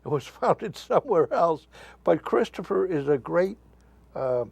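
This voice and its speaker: A man hosting a local interview show, asking questions in a halting way, stumbling over names and using many fillers. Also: fairly high pitch, very animated, creaky, slightly rough, no room sound.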